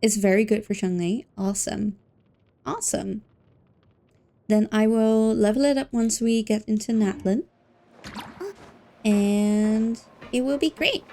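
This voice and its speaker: A woman livestreaming a game, chatting casually and playfully with expressive exclamations and silly filler words, game music behind her. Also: mid-range voice, unhurried, long pauses. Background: faint video game music.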